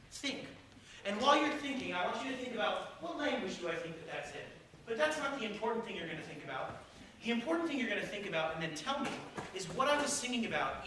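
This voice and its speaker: A man's voice: high-pitched, noisy background. A male opera singer teaching a class of young listeners in a playful, encouraging way, often asking them questions. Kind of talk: lively talk to a young audience. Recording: echoey hall from far off, piano.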